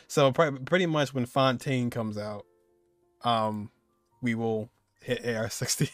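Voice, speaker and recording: A man's voice: mid-range voice, no room sound. A man in his twenties giving casual live reaction commentary as he streams a game. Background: game music.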